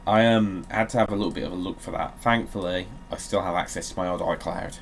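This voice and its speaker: A man with a fairly low voice hosts a gaming video in a casual, joking way. He slips between offhand chat and theatrical reading, and there is some noise in the recording. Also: steady tone, fast talker, vocal fry. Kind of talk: casual game commentary with dramatic readings.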